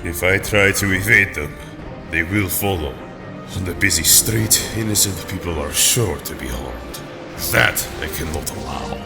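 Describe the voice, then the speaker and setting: A man playing a noble, gentle young strongman hero in an audio drama, with a Russian accent and a formal, earnest manner. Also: low-pitched, measured pace, vocal fry, over music. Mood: resolute.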